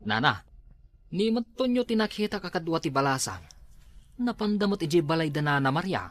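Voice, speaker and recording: A man's voice: a little high, sing-song, brisk. A man with an Ilocano accent, playing a nosy neighbor in a comedy drama, chuckling as he talks.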